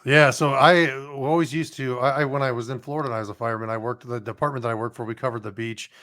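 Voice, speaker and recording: A man's voice: medium pitch, steady tone, fast talker, a bit bright, no echo, studio quality. A man hosting a podcast, talking casually in a rambling way with many restarts. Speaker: podcast host, former firefighter.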